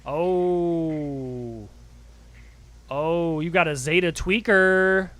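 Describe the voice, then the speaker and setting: High voiced guy doing reaction commentary, slangy n mocking, says stuff over and over for emphasis. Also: very slow, fairly bright tone, no echo. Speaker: reaction video host. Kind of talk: casual running commentary on a horror series.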